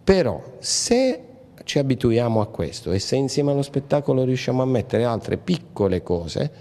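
A man's voice: medium pitch, very animated, normal speed, warm tone. A middle-aged man, a producer talking casually into a handheld mic, joking and rambling with many fillers. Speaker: show producer. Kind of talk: casual interview talk. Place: indoor hall. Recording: reverberant hall.

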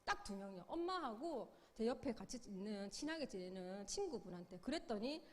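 A woman's voice: mid-range voice, lively intonation, brisk, in a large hall. A middle-aged woman giving a sales seminar lecture, upbeat, explaining with questions and acted-out quotes. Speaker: business seminar speaker.

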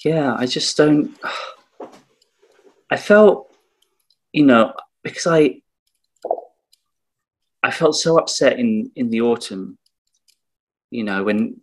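A man's voice: mid-range voice, slow pace, pauses often. A man playing a downcast, sentimental fellow with a hesitant, sighing delivery in improvised comedy. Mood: glum, wistful. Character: downcast, sentimental man mourning small lost rituals.

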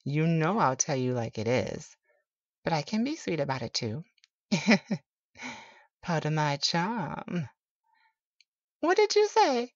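A woman playing a tender, teasing boyfriend who soothes a sleepless lover in an intimate, slow ASMR roleplay. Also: low voice, very animated, full character voice. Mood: playful, affectionate. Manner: soothing, affectionate, playful. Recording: roomy, slightly dull home recording.

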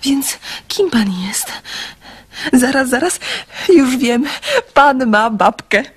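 An actress playing a cautious, coy young woman in a radio drama, speaking with hesitant, softly insistent frankness. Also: sits high, lively intonation, slow pace, breathy edge, heavily acted. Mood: playful, curious. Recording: radio play with music underneath.

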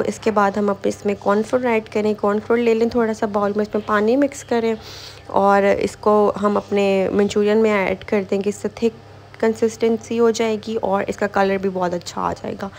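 A woman's voice: mid-range voice, darkish tone, slight background noise. A woman giving a casual step-by-step recipe voice-over as a home cooking host, informal and repetitive, mixing in English kitchen words.

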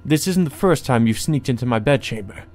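A man's voice: medium pitch, lively intonation, fairly quick, darkish tone, close to an everyday voice. Man playing a flustered, formal young nobleman. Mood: uneasy, flustered. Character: flustered, well-bred young nobleman in a visual novel.

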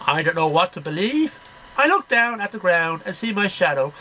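A man's voice: high-pitched, big pitch swings, normal speed, bright, forceful tone, slight background noise. A middle-aged man reading poems aloud in a declamatory, sing-song way, one line at a time. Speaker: amateur poetry reader. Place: small cluttered bedroom. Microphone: webcam at about arm's length.

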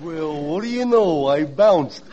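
Dopey hick window washer, old radio comedy, voice swoops around, dull noisy recording. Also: male voice, fairly high pitch, animated, over a noisy crowd. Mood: dazed. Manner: slow, drawling rustic speech, goofy. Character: dim, good-natured country bumpkin window washer. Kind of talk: 1940s radio comedy sketch acting. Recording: muffled, noisy 1940s radio broadcast.

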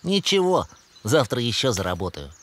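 A man voicing a kind, sensible young hedgehog in an animated cartoon, speaking in a gentle, patient, earnest way. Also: medium pitch, normal speed, lightly acted.

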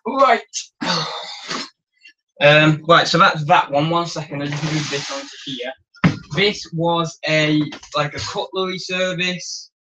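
Young guy rambling on a live stream, bright voice, lots of ums, small room camera. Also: fairly high pitch, takes it slowly, slight background noise, low-quality recording. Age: young adult. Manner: rambling, full of ums and restarts. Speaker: reseller vlogger reading live chat. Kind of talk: casual live stream chat. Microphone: camera on tripod.

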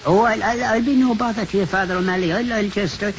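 An actor playing a stubborn, sulky elderly Irish priest in 1950s radio style, halting and repeating his words. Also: sits high. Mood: meek, flustered. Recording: muffled, noisy 1950s radio broadcast.

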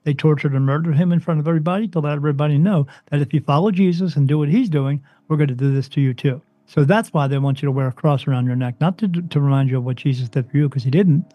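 A man from New Jersey, a spirit medium interviewed as a guest, talking emphatically and insistently, his voice soothing and deep. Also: fast talker, few pauses, no room sound, highs cut off. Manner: emphatic, insistent, swings up in pitch when stressing points. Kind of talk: interview, impassioned preaching monologue.

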